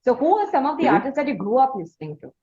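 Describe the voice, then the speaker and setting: A woman's voice: sits high, very animated, mellow. Dull-sounding recording of an Indian woman interviewer. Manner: halting, roundabout phrasing. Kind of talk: conversational interview questioning.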